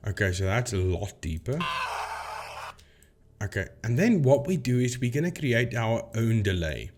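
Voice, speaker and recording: A man's voice: medium pitch, very animated, normal speed, darkish tone, slight background noise. A man hosting a casual explainer video, talking informally to viewers and thinking aloud as he demonstrates. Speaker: video host, paranormal debunker.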